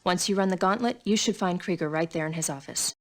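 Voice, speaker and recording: A woman's voice: on the low side, fast, lightly acted. A woman playing a composed, authoritative military commander in a video game, giving businesslike briefings. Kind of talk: video game cutscene acting.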